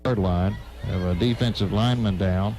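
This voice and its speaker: A man's voice: fairly low pitch, normal speed, dark tone. Man calling football play-by-play over music. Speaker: play-by-play commentator. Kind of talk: live radio football commentary. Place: outdoor high school football stadium broadcast.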